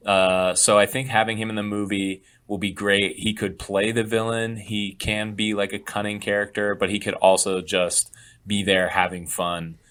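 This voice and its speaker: Guy from florida on a podcast, lowish voice, laid back and jokey. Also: normal speed. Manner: relaxed, joking, builds up his picks with hedging. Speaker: podcast guest. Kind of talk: casual podcast banter.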